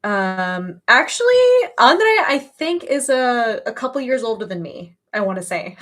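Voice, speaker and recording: A woman's voice: on the high side, very animated, measured pace, bright, forceful tone. A woman in her early thirties chatting casually as a livestream host, with an emphatic, gushing way of talking. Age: early 30s.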